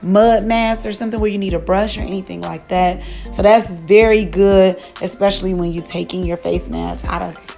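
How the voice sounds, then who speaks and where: Chatty woman vlogger, slightly hoarse. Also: mid-range voice, a little mellow. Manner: chatty, rambling, many fillers. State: slightly hoarse, losing her voice. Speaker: beauty vlogger. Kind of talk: casual chat. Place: vacation lodging, indoors.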